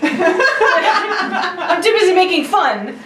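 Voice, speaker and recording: Very high-voiced joking woman host. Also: expressive, extremely slow. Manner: wry, laughing asides. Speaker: podcast host. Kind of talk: joking casual chat. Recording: roomy, distant, noisy mic.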